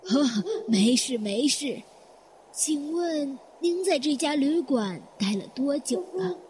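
A woman acting in a Chinese audio drama as a courteous, composed elderly hotel guest with a polite, measured way of speaking. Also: high-pitched, animated, noisy background. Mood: polite, attentive.

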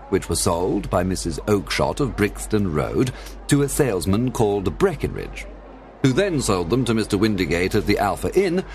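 A man's voice: fairly low pitch, a little mellow. A man voicing a confident, courteous, shrewd detective with a polite, theatrical delivery in a storybook reading. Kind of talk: acted audiobook dialogue.

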